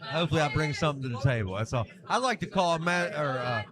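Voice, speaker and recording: Man, folksy commentator, chatty, slightly high voice, busy crowd behind. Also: expressive, fast talker. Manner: folksy, chatty, talks over the action. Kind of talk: casual live commentary. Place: arm wrestling tournament venue.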